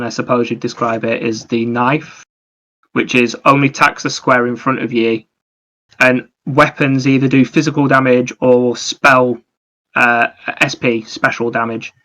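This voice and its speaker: Male, gaming podcast co-host. Bright, sharp tone, little pitch movement, rambling explanations. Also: muffled recording. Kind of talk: casual gaming discussion.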